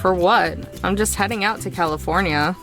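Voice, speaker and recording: A man's voice: high-pitched, normal speed, over music, pitched above the performer's own voice. A man voicing a practical, guarded young woman in a visual novel, dry and a little exasperated. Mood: puzzled.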